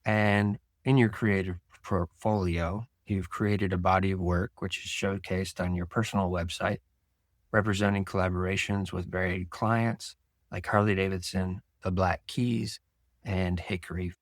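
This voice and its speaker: An American man with a deep, low voice hosts an interview podcast. The sound is dry, and his delivery is conversational as he leads the discussion. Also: level tone, measured pace, no echo. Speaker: podcast host. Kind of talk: interview hosting, conversational with read-out introduction.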